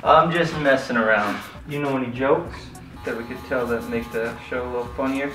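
A young man hosting a comedy barbershop show, teasing his guest with deadpan jokes as he cuts hair. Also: mid-range voice, fairly quick. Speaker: host and barber. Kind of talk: joking comedy banter. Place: small barbershop, indoors. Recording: echoey room from far off with music.

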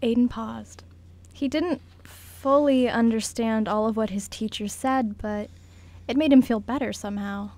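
A woman's voice: a little high, fairly even intonation, measured pace, warm tone. A woman reading scripted narration for a radio play as its narrator.

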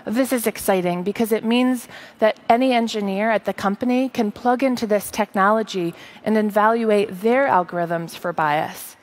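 Measured woman giving a keynote. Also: medium pitch. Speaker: tech company presenter. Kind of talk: rehearsed keynote presentation. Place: large auditorium stage.

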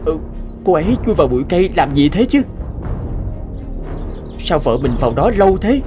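Devoted anxious young village husband, cartoon folktale, highish earnest voice, music behind. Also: male voice, very animated, moderate pace. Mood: worried, puzzled. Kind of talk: animated folktale dubbing.

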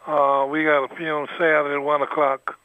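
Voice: medium pitch, flat delivery, fast, narrow, tinny audio. A funeral home worker with a Southern US accent, giving short, polite answers on the phone. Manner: polite, brief replies. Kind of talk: phone conversation.

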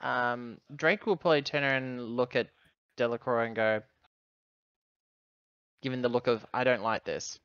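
Guy playing a tabletop game, normal pitch, chatting casual, bit muffled and dry. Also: normal speed, long pauses, a bit bright, clean tone, no room sound. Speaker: tabletop roleplaying player.

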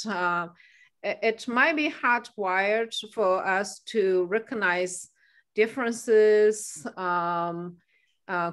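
A woman's voice: medium pitch, fairly bright tone. A middle-aged woman from China, a native Chinese speaker, answering questions on a video call, with many fillers and restarts as she thinks aloud. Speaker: guest speaker. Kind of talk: answering questions in an online meeting. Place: home room by a staircase.

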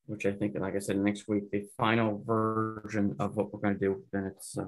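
Man, board chair, relaxed, asks follow-ups, video call. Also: lowish voice, normal speed, poor audio. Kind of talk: casual meeting discussion.

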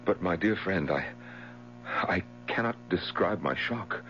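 An actor playing a steady, concerned gentleman friend, formal, earnest and deliberate, in a 1970s radio drama. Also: low-pitched. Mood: grave shock. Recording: muffled, noisy 1970s radio broadcast with hum.

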